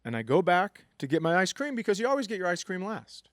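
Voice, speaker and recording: Comedian dude rambling a story, swoopy higher voice, dry close sound. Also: male voice, very animated, fast, a bit bright, dry recording. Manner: rambling, profane, building story. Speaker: comedian co-host. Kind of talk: live comedy podcast storytelling. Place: comedy club stage, live podcast.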